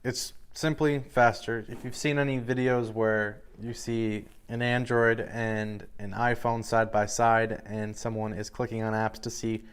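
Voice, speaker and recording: Low, clear-voiced man reviewing products. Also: flat delivery, unhurried, few pauses. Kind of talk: informal product review presentation to camera.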